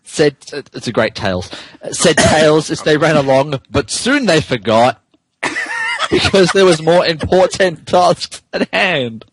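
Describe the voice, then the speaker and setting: A man's voice: fairly high pitch, wildly swooping pitch, a little slow, slight background noise, low-quality recording. A man who co-hosts a podcast, giving a giggly, theatrical comedic reading of fan fiction and stumbling over words. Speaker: podcast co-host.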